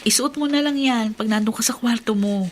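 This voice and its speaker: A woman's voice: a little high, brisk, lightly acted. Woman acting a firm, protective wife. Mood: firm, instructing. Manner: motherly, instructing, firm. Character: protective, firm adult wife and household mistress. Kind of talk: radio drama acting.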